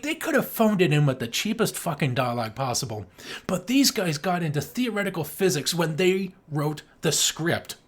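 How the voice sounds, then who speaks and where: Animated, enthusiastic podcast co-host. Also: medium pitch. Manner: enthusiastic, emphatic, frequently swearing. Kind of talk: casual film review chat.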